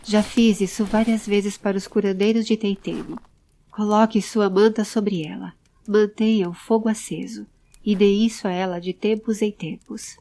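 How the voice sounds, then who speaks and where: Woman voicing a serious, mellow male adventurer. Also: mid-range voice, normal speed, natural voice. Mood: steady, reassuring. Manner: grave, calm, instructing. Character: serious, pensive male adventurer, protective and controlled. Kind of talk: audio drama character acting.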